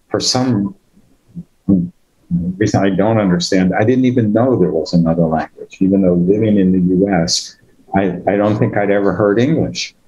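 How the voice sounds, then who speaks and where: Deep, dark-voiced older man, halting. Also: dull audio. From United States. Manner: hesitant, many fillers and restarts. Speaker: guest speaker. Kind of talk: conversational personal story. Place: online video call.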